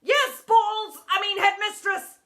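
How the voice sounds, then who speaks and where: A woman voicing a blustering, obsessive male schoolteacher in a booming, bellowing manner for a children's story. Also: fairly quick, bright, forceful tone. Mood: flustered.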